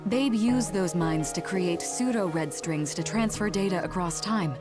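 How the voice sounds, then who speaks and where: An actress playing an earnest, composed woman in a sci-fi video game cutscene, speaking in a measured, clearly enunciated way. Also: on the low side, music in the background, natural voice. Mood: solemn, explaining.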